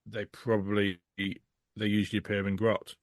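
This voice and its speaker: A man with a British accent talks casually as a podcast guest. His voice is fairly low and recorded close and dry, and he speaks bluntly and emphatically. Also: level tone, moderate pace, dry recording. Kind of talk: casual chat.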